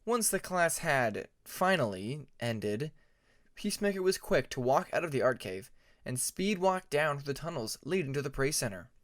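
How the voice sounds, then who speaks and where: A man reading a fantasy story aloud as scripted narration, in a measured storybook manner. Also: fairly high pitch, big pitch swings, fairly bright tone. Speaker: fan fiction audiobook narrator.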